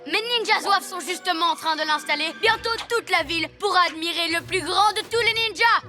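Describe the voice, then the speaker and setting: A woman's voice: extremely high pitch, fairly quick. A woman voicing a scheming, boastful young boy ninja villain in a French cartoon dub, with a pompous, taunting manner. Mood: boastful, gloating. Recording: loud music underneath. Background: loud cartoon score.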